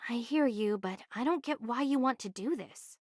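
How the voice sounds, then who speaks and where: Woman acting an earnest, high-voiced young game heroine. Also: normal speed, darkish tone, no echo, clean studio sound, natural voice. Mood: assertive. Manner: earnest, articulate, matter-of-fact. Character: young female envoy, earnest, level-headed, assertive.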